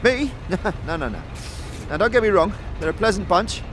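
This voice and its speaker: A man's voice: high-pitched, very animated, a little fast, a bit bright, a little hiss or hum. A man playing a friendly, chatty young apprentice smith in a fantasy audio drama, speaking in colloquial slang with hesitant starts. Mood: easygoing, chatty.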